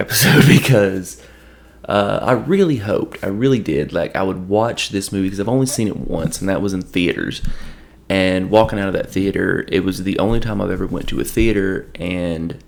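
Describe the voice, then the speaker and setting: A hoarse man with a gravelly voice from allergies, reviewing a movie in a rambling, casual way with lots of restarts. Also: fairly low pitch. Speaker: podcast host.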